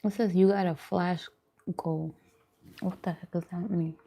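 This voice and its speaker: Casual woman chatting in a lower-pitched voice. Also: fairly even intonation, a little slow, gravelly, dark tone. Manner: informal, chatty, addressing viewers directly. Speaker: mukbang vlogger and livestream host. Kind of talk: casual livestream chat while eating.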